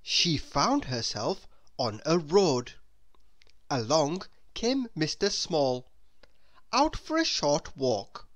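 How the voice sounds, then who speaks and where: A woman reading a children's story aloud in an expressive, deliberate manner. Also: low voice. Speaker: storybook reader. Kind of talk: children's read-aloud narration.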